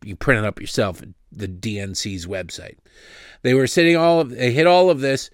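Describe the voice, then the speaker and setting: A man hosting a show, delivering sarcastic, mocking political commentary with big swings in pitch. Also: moderate pace, dry recording, studio quality. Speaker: host.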